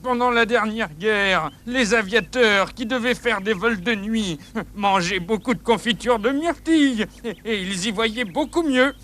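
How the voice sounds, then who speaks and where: Fussy old grandfather, high-pitched, unhurried, kindly, coaxing, children's show. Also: a bit bright. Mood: explaining. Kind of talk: children's puppet show acting.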